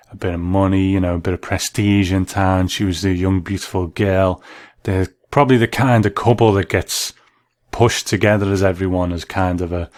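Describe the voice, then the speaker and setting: Low-voiced man, dry studio commentary. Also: darkish tone, dry recording. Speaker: narrator reviewing classic television drama. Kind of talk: scripted commentary.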